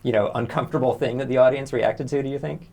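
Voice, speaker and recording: Hesitant male interviewer, medium pitch. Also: steady tone, fast talker, vocal fry, darkish tone. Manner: hesitant, tentative. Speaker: interviewer, co-host. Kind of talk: casual interview questioning.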